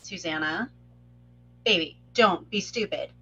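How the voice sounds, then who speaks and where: An actress playing a callous, sharp-tongued young woman who stays cool and unfazed in a screenplay table read. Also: on the low side, measured pace, slightly rough, highs cut off, full character voice. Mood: dismissive, impatient.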